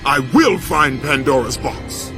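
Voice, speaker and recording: An actor voicing a brutal, vengeful Greek warrior in a video game cutscene, menacing and emphatic. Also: male voice, fairly high pitch, very animated, music in the background. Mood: defiant fury. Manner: bellowing, menacing, slow and emphatic.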